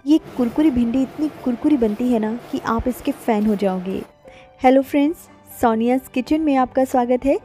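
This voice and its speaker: A woman's voice: a little high, moderate pace, dark tone, soft background music. A woman hosting her cooking channel, explaining a recipe step by step in a friendly instructional way. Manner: explanatory, guides viewer step by step. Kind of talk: cooking tutorial narration. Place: home kitchen.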